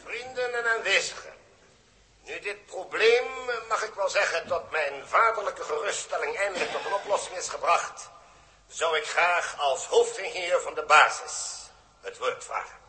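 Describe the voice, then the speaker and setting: Casting: ceremonious fatherly chief engineer, bright higher-set voice, reverberant hall, vintage sci-fi radio play. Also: animated, unhurried, slight background noise, exaggerated voice. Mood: solemn, proud. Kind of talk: 1960s Dutch radio drama acting. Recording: echoey hall, old radio recording.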